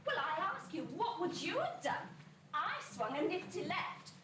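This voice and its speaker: A high-pitched, swooping ugly stepsister played by a woman in a comic pantomime. She is catty, gossipy and haughty, declaiming in rhyme. The sound is distant and very noisy, like an amateur stage recording. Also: very animated, normal speed, echoey room. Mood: catty, indignant. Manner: exaggerated, haughty, theatrical delivery. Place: school hall stage, distant microphone.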